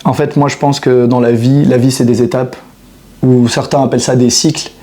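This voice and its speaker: A man's voice: mid-range voice, level tone, normal speed, warm tone. A man in his thirties, a fitness coach, talking reflectively to camera in a casual monologue, full of hesitations and restarts. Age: 30s.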